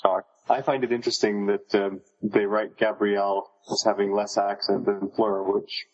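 A man's voice: lowish voice, little pitch movement, normal speed. A man co-hosting a casual book discussion, musing aloud in a tentative way. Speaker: podcast co-host. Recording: dull, echoey lo-fi sound; long echoey reverb.

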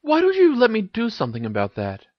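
A man's voice: high voice, huge pitch swings, fast talker, dry recording, lo-fi sound, pitched above the performer's own voice. A man voicing a fiery, proud teenage witch in an audiobook-style story, with emphatic delivery. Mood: teasing, caring. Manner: scolding, emphatic when angered. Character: fiery, indignant teenage witch, girl with stubborn pride.

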